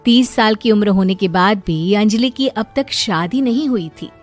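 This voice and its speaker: A woman's voice: mid-range voice, moderate pace, faint music behind. Woman narrating a cartoon moral story. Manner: calm, explanatory.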